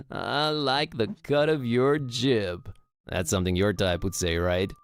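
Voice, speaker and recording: A man playing an easygoing, slangy young student librarian in an animated video game, casual and wryly dismissive. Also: mid-range voice, takes it slowly, smooth. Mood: wry, chatty.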